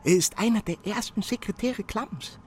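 Radio drama: actor as servile, earnest male messenger, higher-pitched, halting, apologetic, light music underneath. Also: natural voice. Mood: eager. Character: eager, servile young male messenger reporting his efforts.

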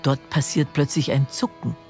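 A woman's voice: rumbling low, little pitch movement, normal speed, a little mellow, soft background music. A woman playing an intimate, earnest storyteller with a Bavarian accent, narrating a drama. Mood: hushed, intense.

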